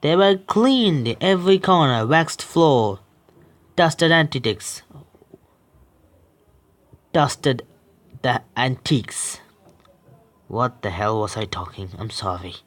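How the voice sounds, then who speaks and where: A young man reading a horror visual novel aloud, stumbling and correcting himself with quick apologies and reactions. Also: mid-range voice, animated.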